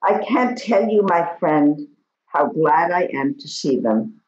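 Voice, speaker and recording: A woman reading poetry aloud in a slow recitation, recorded in an echoey room with dull audio. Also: sits low, expressive, a little mellow. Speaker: poetry reader.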